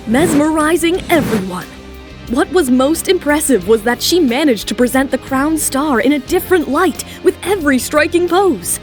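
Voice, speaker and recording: A woman narrating a scripted romantic drama as a storyteller. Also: high voice.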